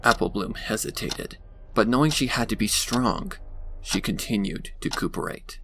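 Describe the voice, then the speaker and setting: A man's voice: lowish voice, normal speed. A man narrating a scripted dramatic story on a noisy home recording.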